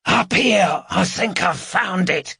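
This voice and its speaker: Audiobook role: bossy, fat male housebreaker read by a man, gruff, snapping orders with swooping intonation. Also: mid-range voice, sing-song, normal speed, bright, forceful tone, no room sound, clean studio sound, heavily acted. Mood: excited. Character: fat, gruff, irritable male small-time burglar.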